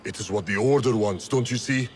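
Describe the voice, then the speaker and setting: An actor playing a stern, burdened mentor who guards an ancient secret in a video game, solemn and insistent. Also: male voice, on the low side, brisk, slight background noise, lightly acted. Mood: solemn warning. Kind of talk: dramatic video game acting.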